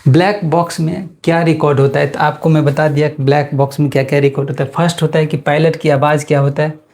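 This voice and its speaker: Mellow Indian man teaching informally. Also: on the high side, flat delivery, fast talker. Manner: repeats and rephrases points for students. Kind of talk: informal explanatory slide lesson.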